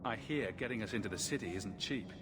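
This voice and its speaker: Man as a low, composed young adventurer. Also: brisk, lightly acted. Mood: composed, inquiring. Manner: composed, direct. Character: level-headed young male adventurer newly arrived, calm. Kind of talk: video game cutscene acting. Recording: clear background ambience noise.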